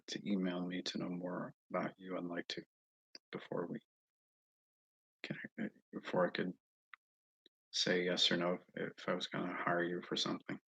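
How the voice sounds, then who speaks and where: An American man chatting casually and haltingly on a livestream, often restarting his sentences as he thinks aloud. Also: low voice, vocal fry, fairly bright tone, husky, rough recording. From Northeast United States, mostly Massachusetts. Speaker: livestream host answering viewer comments. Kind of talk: casual rambling livestream chat.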